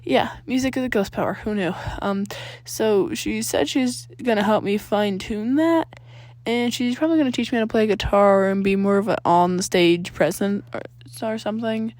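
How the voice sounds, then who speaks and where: A woman's voice: mid-range voice, moderate pace, a little mellow, dry recording, lightly acted. Awkward teen boy hero, played by a woman: hesitant, defensive, stammering, recorded dry. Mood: hopeful, awkward. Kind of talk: acted fan fiction dialogue.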